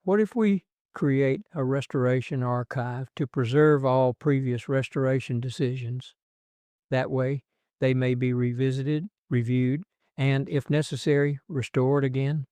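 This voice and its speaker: An actor playing an orderly church member who speaks methodically. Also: male voice, medium pitch, fairly even intonation, measured pace, dark tone, clear, resonant tone, no echo, close to an everyday voice. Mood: earnest.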